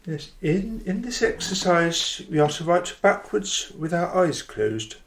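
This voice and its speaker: Gentle instructive poet, radio drama, precise, unhurried, creaky. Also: male voice, high-pitched, big pitch swings, full character voice. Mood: earnest.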